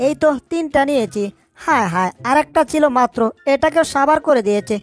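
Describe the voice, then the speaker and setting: A high cartoon voice for a small, clever male mouse performed by a woman. He frets aloud and exclaims anxiously as he schemes, with faint music underneath. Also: lively intonation, fairly quick. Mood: anxious, scheming. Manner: exclamatory, fretting to himself. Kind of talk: Bengali cartoon dub acting.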